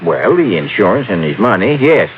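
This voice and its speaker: An actor playing a chatty, amiable small-town storekeeper and lawyer in an old-time radio drama, rambling and easily sidetracked. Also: male voice, fairly low pitch, sing-song, moderate pace. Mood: helpful, folksy. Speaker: supporting actor. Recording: phone-like old radio sound, faint noise.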